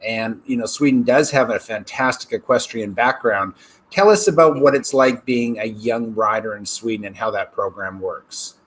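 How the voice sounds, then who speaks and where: A man's voice: lowish voice, moderate pace, a bit bright, poor audio. A man hosting an interview show, talking effusively and piling on superlatives. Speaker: show host.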